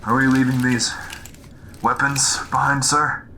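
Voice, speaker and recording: A man's voice: medium pitch, flat delivery, a little slow, a little hiss or hum. A man playing a loyal squad trooper under fire in a sci-fi audio drama, terse and urgent. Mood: shaken. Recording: loud music and effects behind.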